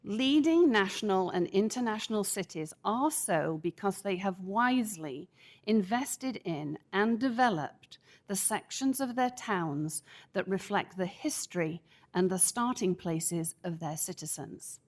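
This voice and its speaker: A middle-aged woman stands at a podium microphone in a council chamber and gives formal public testimony. Her big, projecting voice comes out measured and deliberate, with a firm, emphatic push on her key points. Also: mid-range voice, lively intonation. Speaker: public commenter at city council.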